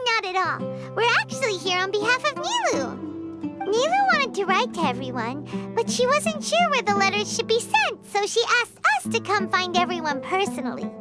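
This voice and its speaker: A woman voicing a small, cheerful fairy companion with a squeaky childlike voice in a video game. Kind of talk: animated video game character acting. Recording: game music behind voice.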